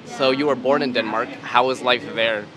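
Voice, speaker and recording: A man's voice: medium pitch, steady tone, moderate pace, crowd noise, low-quality recording. A man hosting a casual interview, asking questions in a curious, chatty way. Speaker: host interviewer. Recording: street recording with clear background noise.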